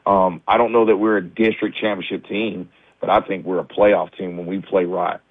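A man, a high school basketball coach, talking candidly in a radio interview with lots of restarts; his voice is a little raspy as he recovers from the flu. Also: flat delivery, normal speed, no echo. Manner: candid, many restarts and fillers. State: recovering from flu, low energy. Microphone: phone line. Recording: tinny dry phone line.

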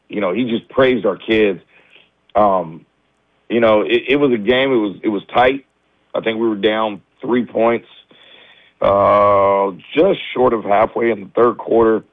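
A man, a high school basketball coach, talking candidly in a radio interview with lots of restarts; his voice is a little raspy as he recovers from the flu. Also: dry recording. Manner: candid, many restarts and fillers. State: recovering from flu, low energy. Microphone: phone line. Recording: tinny dry phone line.

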